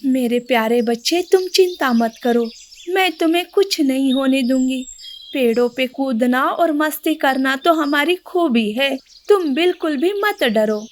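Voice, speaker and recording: A woman voicing a pregnant mother monkey, tender, expressive, protective and anxious, in an animated animal story. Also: high-pitched, a little slow, a little hiss or hum. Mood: tender reassurance.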